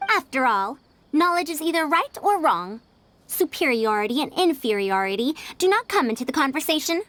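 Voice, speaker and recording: An actress playing a self-assured, scholarly teacher in a video game, speaking in a precise, instructive way. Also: female voice, high voice, very animated, moderate pace, a bit bright, no room sound. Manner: instructive, precise, formal wording. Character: scholarly senior female teacher, self-assured, encouraging mentor. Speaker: game character, mentor. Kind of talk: scripted video game dialogue.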